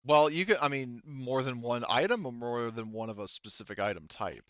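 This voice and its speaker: A man's voice: mid-range voice, normal speed, a bit bright, dry recording, lo-fi sound. Casual sweary man running a game. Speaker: game master. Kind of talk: casual tabletop game talk.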